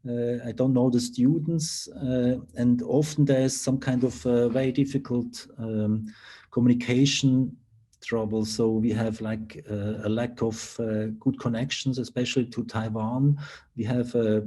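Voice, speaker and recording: Hesitant Swiss man, mellow voice. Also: medium pitch, steady tone, unhurried. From Switzerland. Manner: hesitant, many fillers and restarts. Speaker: university lecturer. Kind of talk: online conference presentation. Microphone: video call.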